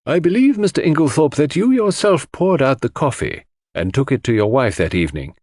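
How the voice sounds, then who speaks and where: A male narrator voices a coroner from a mystery audiobook. He questions formally and probingly, with animated intonation and a dry, close sound. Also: medium pitch, brisk, no echo, pitched above the performer's own voice. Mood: questioning.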